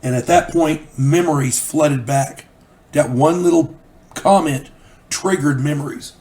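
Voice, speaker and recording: A man's voice: a little high, a little slow, darkish tone. Folksy older man telling a story. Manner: folksy, rambling with restarts. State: recovering from a cold. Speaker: channel host. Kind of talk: casual storytelling monologue.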